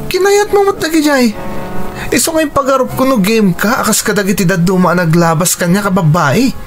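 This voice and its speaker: A man's voice: high voice, expressive, normal speed, soft background music. A man playing a callous, evasive young boyfriend in a radio drama, dismissive and defensive, with an Ilocano accent. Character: callous, evasive young male boyfriend who disowns responsibility.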